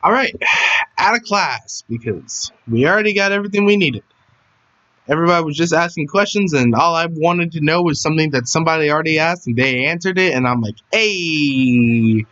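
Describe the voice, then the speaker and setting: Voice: medium pitch, animated, a little fast, fairly bright tone, highs cut off. A streamer with a whiny voice, chatting casually and rambling, with phlegm in the throat and sudden yelps. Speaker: live streamer.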